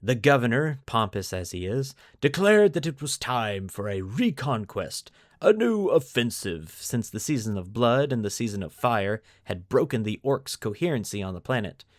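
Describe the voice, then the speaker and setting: A man's voice: mid-range voice, sing-song, moderate pace, few pauses, no echo, clean studio sound. A man hosting a podcast, narrating fictional war lore like a storyteller and stressing key phrases. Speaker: podcast host.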